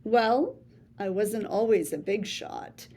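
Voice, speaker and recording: A woman reading a children's picture book aloud in a playful, theatrical way, with big swings between soft and showy lines. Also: medium pitch, slow pace. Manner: boastful first-person storybook voice. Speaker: read-aloud storyteller.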